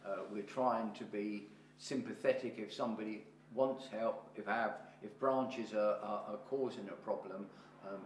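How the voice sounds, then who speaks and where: A man chairing a parish council meeting, reporting in a halting way with many pauses and restarts. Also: fairly low pitch, a little mellow. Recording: roomy hall with faint hum.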